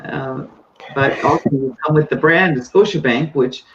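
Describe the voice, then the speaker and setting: A woman's voice: extremely low pitch, normal speed. A woman hosting a live webinar interview, talking in an enthusiastic, effusive way. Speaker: webinar host. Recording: dull lo-fi webinar audio with faint noise.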